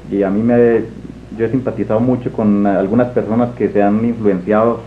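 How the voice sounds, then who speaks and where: A man's voice: lowish voice, steady tone, fairly quick, a little hiss or hum. Male interviewer, polite and formal, roomy echo, narrow lo-fi sound.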